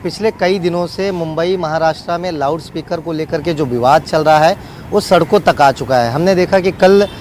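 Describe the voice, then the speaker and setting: A man's voice: on the high side, normal speed, darkish tone, slight background noise. A man giving a wordy, unscripted live news report as a field reporter, often repeating set phrases.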